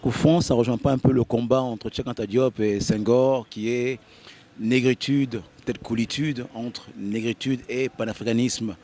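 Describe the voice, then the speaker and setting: A man's voice: mid-range voice, steady tone, normal speed, mellow. A man interviewing a guest on a cultural talk show, asking long questions while searching for words.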